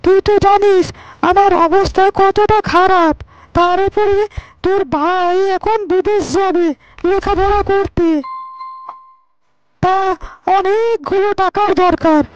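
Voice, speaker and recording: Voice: very high-pitched, fairly even intonation, slow, pauses often, muffled recording. A performer voicing a humble, worried older village uncle in a cartoon, pleading deferentially. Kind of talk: Bengali cartoon character dialogue.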